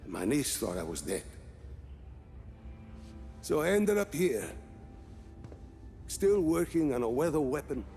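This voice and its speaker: Older male scientist, measured, weary, proud, video game drama, faint music. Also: fairly high pitch, big pitch swings, dark tone. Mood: bitter, weary. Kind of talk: cinematic video game acting. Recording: music behind.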